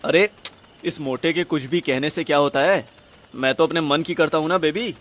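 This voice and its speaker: Man acting high-voiced, brash, foolish young sidekick. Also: normal speed, fairly bright tone, close to an everyday voice. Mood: evasive. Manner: slangy, cheeky. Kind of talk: audio drama acting.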